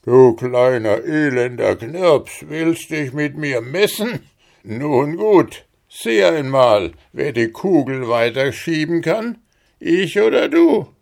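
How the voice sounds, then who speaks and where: A huge boastful giant from a storybook, bellowing and mocking in a raised, pushed-up voice, as a man puts him on. His lines swing widely in pitch and land with a gravelly edge. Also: very animated, takes it slowly, full character voice. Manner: loud, blustering and mocking. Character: huge boastful male forest giant, gruff.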